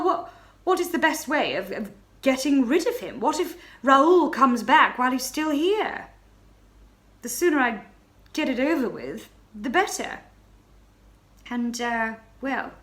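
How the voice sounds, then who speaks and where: A woman reads as a young baroness in a storybook tale, confiding a scandal in a breathless, stammering rush. Her voice swoops high and drops low as she tells it. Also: fairly bright tone, full character voice. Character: flustered young married baroness, female.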